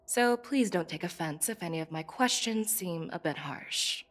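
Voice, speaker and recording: A woman's voice: lowish voice, lively intonation, normal speed, close to an everyday voice. An actress playing a confident, shrewd female general in a video game, speaking formally and probingly. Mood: assured, formal. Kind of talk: game voice acting. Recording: game music playing behind.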